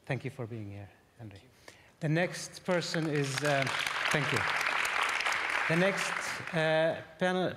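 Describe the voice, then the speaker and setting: Hesitant man moderating a panel. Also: mid-range voice. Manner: hesitant, many fillers. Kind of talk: introducing panelists. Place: large conference hall. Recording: reverberant hall with audience.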